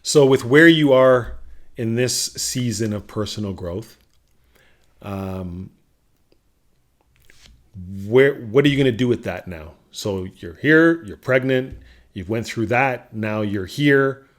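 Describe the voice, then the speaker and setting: A man co-hosting a podcast, asking probing questions and encouraging his partner with steady affirmations. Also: mid-range voice, slow pace, long pauses. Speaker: podcast co-host. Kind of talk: interviewing co-host in casual chat.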